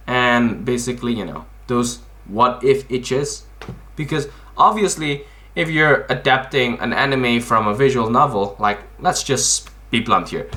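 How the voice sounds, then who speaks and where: A man's voice: medium pitch, sharp tone. A man giving casual explanatory commentary as a video host, in a blunt, informal, emphatic way, often asking questions and answering them himself.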